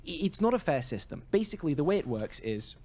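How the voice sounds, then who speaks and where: A man's voice: a little high, big pitch swings, quick pace, darkish tone. A man co-hosting a podcast in casual, opinionated chat, emphatic and prone to swearing. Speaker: podcast co-host.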